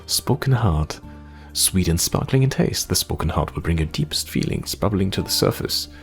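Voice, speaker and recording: A man's voice: low voice, lively intonation, moderate pace, gravelly, faint music behind. A man playing a game, reading its text aloud expressively and adding casual commentary. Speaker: gamer playing through a demo.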